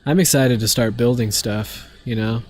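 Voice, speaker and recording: A man's voice: mid-range voice, normal speed. A young man chatting casually to viewers while playing a game, a bit stuffy from allergies, rambling with lots of fillers. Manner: relaxed, rambling, many fillers. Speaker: streamer. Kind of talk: casual live-stream chat while gaming.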